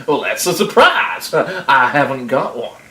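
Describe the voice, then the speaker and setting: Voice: medium pitch, sing-song, a little slow, sharp tone. A performer putting on a flustered, swoopy storybook wolf. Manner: stammering, hesitant bluffing. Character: sly, flustered wolf, bluffing and roaring. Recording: roomy echo, clear background noise.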